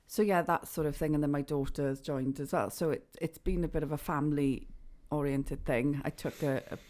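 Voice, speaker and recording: Welsh woman, middle-aged, low-pitched, relaxed, chatty, laughing guest. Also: normal speed. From Wales. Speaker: guest bowls player. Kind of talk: casual interview chat.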